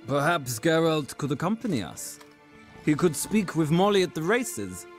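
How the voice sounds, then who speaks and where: Suave aristocrat general, lilting and high. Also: male voice, big pitch swings, moderate pace, faint music behind. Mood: suave, courteous. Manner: formal, polished, mannered. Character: suave, haughty male aristocrat general, courteous, playful. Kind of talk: video game voice acting.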